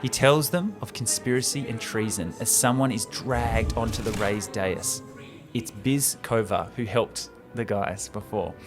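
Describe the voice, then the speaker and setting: A man's voice: fairly low pitch, a little slow, music in the background. A man co-hosting a show, retelling a film script in an informal, enthusiastic, chatty way. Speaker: podcast co-host.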